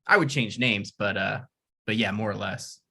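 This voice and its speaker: A man's voice: on the low side, moderate pace, vocal fry, bright, forceful tone, breathy edge. A man reading his lines at a script table read, hesitant and self-deprecating, with stammers and restarts.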